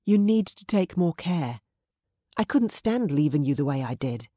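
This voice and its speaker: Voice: medium pitch, very animated, moderate pace, mellow, no echo, studio quality. A narrator voicing a playful young woman who teases in a light, lilting way.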